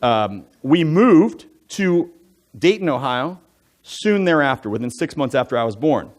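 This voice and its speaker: A man in his forties, an elder from the United States, telling his life story to a church congregation in a relaxed, conversational way, full of asides and jokey fun facts. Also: medium pitch, unhurried. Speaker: church elder giving a testimony. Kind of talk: personal testimony talk from the pulpit. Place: church sanctuary.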